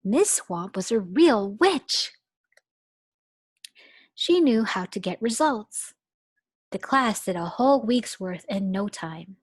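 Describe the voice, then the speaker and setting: Brief: expressive teacher reading aloud to little kids, slow pace, dry close sound. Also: female voice, mid-range voice, husky, no echo. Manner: expressive, storytelling. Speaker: pre-kindergarten teacher. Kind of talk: children's read-aloud.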